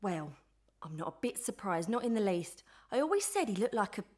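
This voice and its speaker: A woman's voice: mid-range voice, sing-song, fairly quick, darkish tone. A woman playing a chatty, plain-spoken, loyal lady's maid in a Victorian melodrama audio play. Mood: gossipy, opinionated.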